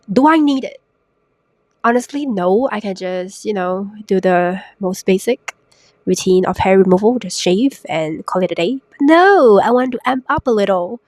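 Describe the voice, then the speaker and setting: A young woman hosting a solo podcast while sick, her voice raspy and congested, chatty and self-mocking with lots of rhetorical questions. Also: mid-range voice, no echo. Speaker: podcast host. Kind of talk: casual solo podcast talk. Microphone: new podcast microphone.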